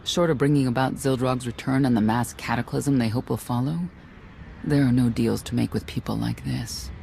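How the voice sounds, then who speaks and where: An actor playing a stern, world-weary knight guide in a video game, speaking in a measured, grim way. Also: male voice, mid-range voice, steady tone, vocal fry, warm tone, slight background noise, lightly acted.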